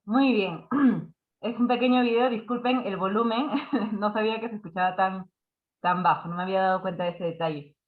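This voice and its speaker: A woman hosting an online meeting, speaking in a brisk, friendly way. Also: mid-range voice. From Peru. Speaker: host. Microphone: video call. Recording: tinny phone-like call audio.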